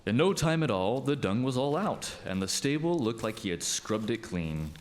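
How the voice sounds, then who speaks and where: A man's voice: medium pitch, sing-song, moderate pace, close to an everyday voice. A man playing a storyteller, narrating a scripted folktale. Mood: storytelling.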